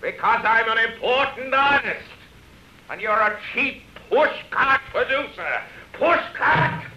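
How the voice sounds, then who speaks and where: A washed-up actor, proud, drunk and desperate. The voice is bright and forceful with grandiose theatrical delivery, on a muffled vintage radio drama recording. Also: male voice, extremely high pitch, animated, slow, a little hiss or hum, full character voice. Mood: indignant boasting. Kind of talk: 1930s radio drama acting.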